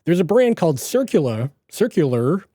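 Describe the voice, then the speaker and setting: Young male podcast host, slight Boston accent, casual rambling delivery, fairly high mellow voice, dry recording. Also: big pitch swings, moderate pace, dry recording. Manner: chatty, rambling, self-correcting. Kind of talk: casual solo podcast monologue.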